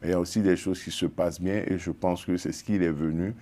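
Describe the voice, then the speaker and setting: A man's voice: sits low, fairly even intonation, fast, dark tone. A man from Côte d'Ivoire, a journalist guest on a TV panel, talking with frequent hesitations. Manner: hesitant, many fillers and restarts. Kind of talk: TV panel discussion.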